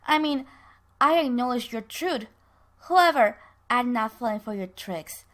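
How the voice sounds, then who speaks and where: A woman storyteller acts out a husband on the defensive in a spoken drama. He sounds earnest and indignant, protesting emphatically in a lightish, fairly high voice. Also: animated, a little slow. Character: defensive, indignant married man facing his old flame.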